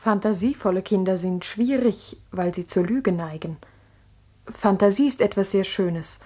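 An actress playing an earnest, strict village schoolteacher in a 1960s radio drama, with a measured, precise, slightly husky voice. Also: female voice, medium pitch, dark tone, lightly acted. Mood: reflective. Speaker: actor and first-person narrator. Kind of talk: 1960s German radio drama acting and narration.

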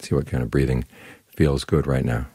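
The very low, husky man you would hear at a morning meditation sitting, calmly guiding everyone in plain words, close and dry in the ear. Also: normal speed, no room sound. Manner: calm, instructive, plain-spoken. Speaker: Buddhist monk, meditation teacher. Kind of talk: short spoken Dhamma talk guiding meditation.

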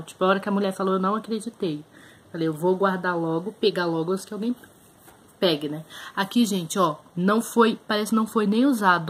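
A woman's voice: medium pitch, husky. A young Brazilian woman with a Brazilian Portuguese accent, chatting casually to camera in a small room as she shows her thrift finds. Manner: chatty, shows items up close, many fillers. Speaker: vlogger. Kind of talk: casual haul video chat.

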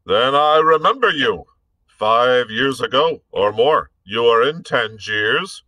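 A man's voice: medium pitch, animated, takes it slowly, dry recording, pitched above the performer's own voice, poor audio. A man reading aloud, putting on a heavy, hectoring voice for a blustering, bullying dragoon captain. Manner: loud, sneering.

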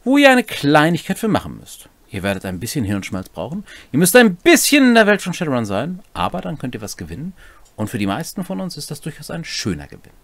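A man's voice: fairly high pitch, huge pitch swings, fast talker, no echo. A man in his forties explaining game rules to camera in an instructive way, addressing viewers directly. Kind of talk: rules explainer to camera, partly read from script. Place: small cluttered home room, indoors.

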